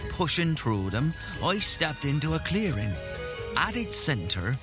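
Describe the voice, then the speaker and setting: An actor playing a folksy old village storyteller in an audio drama, boastful and earnest, savouring the tale theatrically. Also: male voice, a little high, sing-song, unhurried, over music. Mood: wonder-struck storytelling.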